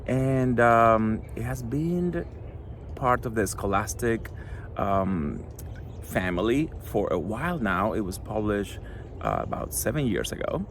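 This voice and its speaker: A middle-aged man from Cuba, a Spanish native speaker with a Cuban accent, talks earnestly to a school audience about his children's book, pausing often with fillers. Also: on the low side, big pitch swings, slight background noise. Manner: earnest, halting, many fillers. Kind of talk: recorded address to a school audience.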